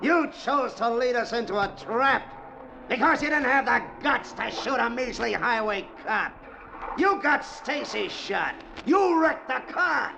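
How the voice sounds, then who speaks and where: An actor playing a brutal, hot-tempered convict thug in a 1940s radio drama, with a gravelly, snarling, taunting delivery. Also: male voice, very high voice, expressive, unhurried, full character voice. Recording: hissy old radio broadcast.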